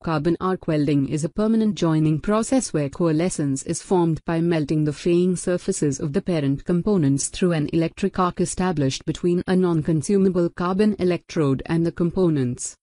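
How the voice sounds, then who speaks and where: A woman narrating a scripted technical lesson as a voice-over, in an even, measured reading. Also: fairly low pitch, dry recording, clean studio sound. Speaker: lecturer.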